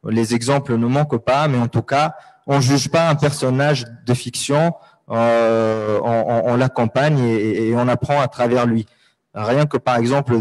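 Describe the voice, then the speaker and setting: A man's voice: medium pitch, a little mellow. A Tunisian man, an Arabic native speaker, speaking thoughtfully on a panel with many hesitations and fillers. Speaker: writer on a panel. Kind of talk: reflective panel talk.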